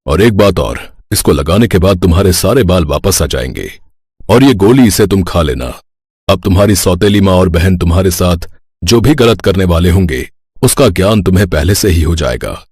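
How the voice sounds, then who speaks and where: A man acts out a kindly helper in an audio folk tale. His voice is low and husky, earnest and reassuring, with a very dry sound. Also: animated, normal speed, a little mellow, dry recording, full character voice. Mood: kindly advising. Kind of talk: acted dialogue in an audio story.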